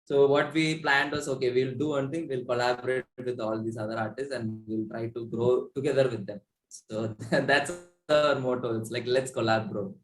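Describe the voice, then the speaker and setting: A man's voice: medium pitch, steady tone, normal speed. A man in his twenties with an Indian accent, chatting casually as a musician guest in an interview, telling stories in a rambling way. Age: 20s. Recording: roomy, echoey sound.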